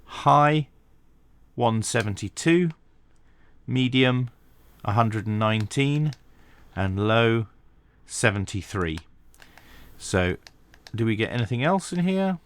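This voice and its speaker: A man giving a hands-on tech tutorial, talking through each step methodically with pauses and dry asides. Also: medium pitch, animated, smooth. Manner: methodical, thinking aloud with frequent pauses. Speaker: radio reviewer.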